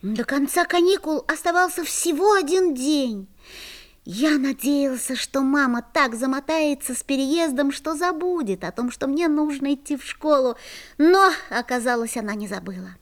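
A woman playing a lively, curious schoolboy who narrates a children's audio play in an expressive, boyish way. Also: high-pitched, normal speed. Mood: lively, wondering.

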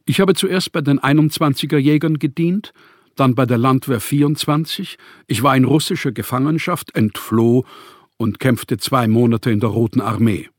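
Male actor for homeless, proud literary man in radio play. Rough-edged, reflective, melancholy, dry studio sound. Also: mid-range voice, no echo, natural voice. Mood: matter-of-fact. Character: melancholy, proud, rootless Austrian writer, man. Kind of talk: autobiographical radio play monologue.

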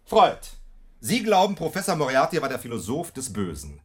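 A haughty master detective in a radio play. His delivery swings widely in pitch, emphatic and formal, with every accusation placed precisely. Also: huge pitch swings, fairly quick, breathy edge. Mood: vehement, accusing. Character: brilliant, relentless male detective, haughty and obsessively accusatory. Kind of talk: German radio drama acting.

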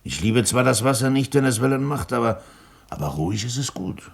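A man playing a strong, placid, plain-spoken sailor in a German radio play, with a husky voice and simple, hesitant phrasing. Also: mid-range voice, lively intonation, fairly quick. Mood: placid, thoughtful.

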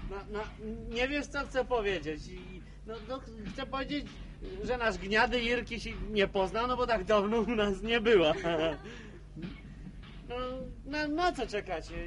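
A high, lively male voice plays a brash young villager in a radio drama. He teases and jokes excitably, tripping over his first words, with clear outdoor noise around him. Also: measured pace. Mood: teasing, cheerful. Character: brash, joking young village man meeting relatives.

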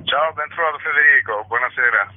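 A man's voice: mid-range voice, brisk, slight background noise. A man speaking as an interview guest, a theatre director explaining his work conversationally with many hesitant filler phrases. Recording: tinny phone line.

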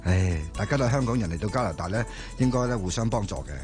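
A man's voice: lowish voice, quick pace, music in the background, lightly acted. An actor playing a good-natured, bantering young man in a radio drama, with a Hong Kong Cantonese accent. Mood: helpful.

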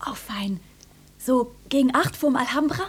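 A woman's voice: high-pitched, a little slow, slightly rough. An actress playing a young, precocious daughter in a radio drama, pert and drily mocking, on a recording with a noisy hum behind her. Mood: pert, admiring. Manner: pert, sardonic. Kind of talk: German radio drama acting.

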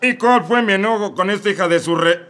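A man's voice: high-pitched, a little fast, pushed and bright. A man with a Mexico City accent playing a crude, drunken, pious shepherd who rants in slang. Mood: ranting. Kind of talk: comedic radio play acting.

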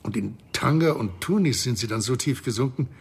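An actor playing a smug, middle-aged interrogator in a crime radio drama, mock-polite and needling in a husky, gravelly voice. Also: male voice, medium pitch, expressive, fairly quick, darkish tone, heavily acted. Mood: smug, accusing. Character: smug, menacing male consultant interrogating his captive.

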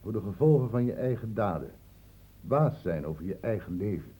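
An actor playing a shrewd, paternal doctor in a radio play, speaking in a measured, patronising, ironic way. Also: male voice, lowish voice, animated, dark tone, slightly rough. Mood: grave lecturing. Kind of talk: radio play acting in Dutch. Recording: muffled, phone-like old radio recording.

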